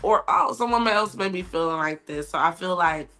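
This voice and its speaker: Bright-voiced woman, laughing often. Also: on the low side, moderate pace. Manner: laughs often, warm and open. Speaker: guest musician. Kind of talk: casual podcast interview.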